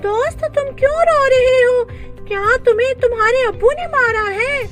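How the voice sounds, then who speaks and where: A woman's voice: very high voice, moderate pace, soft background music, voice pushed up. A woman voicing a kind, earnest ten-year-old boy king in a children's storybook tale, childlike and eager to reassure. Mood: concerned, curious.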